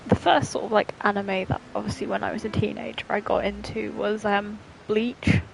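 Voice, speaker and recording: A woman's voice: medium pitch, level tone, moderate pace, creaky, a little hiss or hum, rough recording. A woman chatting casually as she live-streams a game, in a rambling, repetitive way. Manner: rambling, repeats herself. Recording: faint game music behind.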